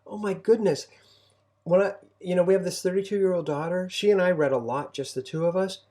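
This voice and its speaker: A man's voice: high voice, fairly even intonation, brisk, dark tone. A middle-aged man speaking casually as a co-host and homeschooling parent, giving advice in a reflective, encouraging way. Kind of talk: casual conversational advice talk.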